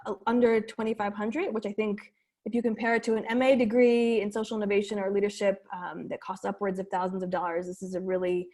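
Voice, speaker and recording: A woman's voice: mid-range voice, level tone, fast, darkish tone. A woman wrapping up a webinar presentation over a video call, casual and friendly, with many fillers.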